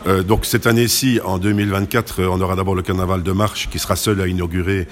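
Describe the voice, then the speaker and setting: Fast-talking, low-voiced man. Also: little pitch movement. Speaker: carnival committee president, interview guest. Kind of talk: conversational interview answers.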